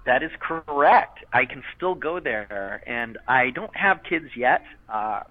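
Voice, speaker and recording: A man's voice: medium pitch, animated, slow pace, no echo. A man who voiced a character as a child, chatting in an interview and rambling with many fillers and restarts. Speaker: interview guest, former child voice actor. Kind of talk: casual interview chat. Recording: tinny phone-line sound.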